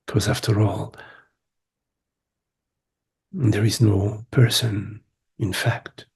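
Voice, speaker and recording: A man leading a guided meditation as a teacher, speaking gently in short phrases with long pauses. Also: lowish voice, steady tone, gravelly, husky. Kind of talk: guided meditation, spiritual talk.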